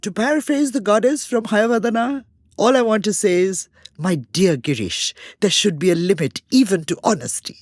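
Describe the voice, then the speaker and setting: A woman's voice: medium pitch, moderate pace, a little mellow, no echo, clean studio sound. A woman with an Indian accent co-hosting a podcast, narrating from a script in an articulate, emphatic way. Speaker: podcast co-host.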